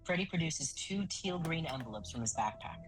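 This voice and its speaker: A woman's voice: sits low, animated, brisk, soft background music, low-quality recording. A woman reading scripted stage directions as the narrator of an audio drama.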